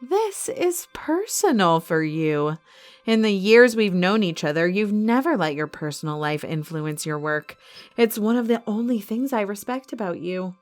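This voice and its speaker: Villainess, non-human arena boss: imperious, cold, animated pitch, threatening, audio drama with music underneath. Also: female voice. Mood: mocking. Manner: haughty, menacing, measured threats.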